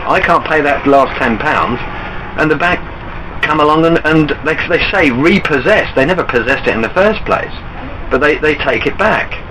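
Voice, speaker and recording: A man's voice: a little high, lively intonation, normal speed. A man on a phone call, earnestly arguing his case and insistently pressing his points. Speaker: caller. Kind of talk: argumentative phone conversation. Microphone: telephone call. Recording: noisy recorded phone call.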